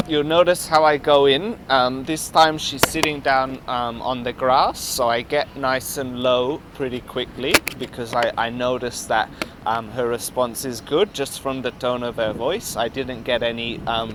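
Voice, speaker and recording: A young Australian man talks in an easy, laid-back way and fills his sentences with ums. His voice sits at an ordinary middle pitch. Traffic and city noise run clearly behind him outdoors. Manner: laid-back, laughs often, many ums. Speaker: dating coach. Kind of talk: casual street chat and voice-over commentary.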